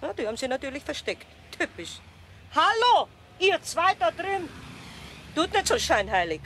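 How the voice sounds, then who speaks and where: A cranky older lady, scolding in a high, bright, sharp voice that swings with indignation. She is suspicious and quick to accuse, in an old children's radio play. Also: very animated, a little hiss or hum, exaggerated voice. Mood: indignant.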